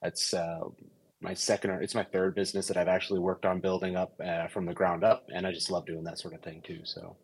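A young adult man from the United States, raised in Papua New Guinea, talking as a podcast guest in a relaxed, thoughtful way with frequent fillers. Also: deep voice, a little fast, breathy edge. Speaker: podcast guest, commercial pilot. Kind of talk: casual conversational interview.

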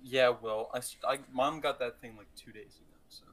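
A man's voice: medium pitch, fairly even intonation, brisk, a bit bright. A man co-hosting a podcast, chatting casually and playfully about movies with an enthusiastic manner. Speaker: podcast co-host.

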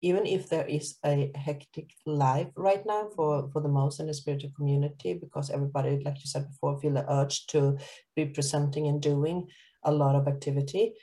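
A woman interviewing a guest in a calm, reflective way, with slightly non-native phrasing. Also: deep voice, a little fast, rough recording. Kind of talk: casual conversational interview.

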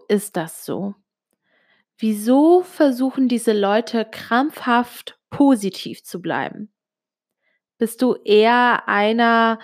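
A young woman from Switzerland whose first language is Italian, speaking in a slightly raised voice. She confides her own story directly to the listener, pausing often with small fillers as she talks. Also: a little high. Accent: Italian-Swiss German speaker with little Swiss accent. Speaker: vlogger. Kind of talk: personal storytelling to camera.

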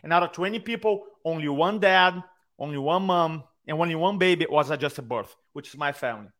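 A man's voice: on the high side, a little fast. A man with a Brazilian accent, a speaking coach telling stories in a podcast interview over a video call. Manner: animated storyteller, acts out quotes. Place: his own room on a video call.

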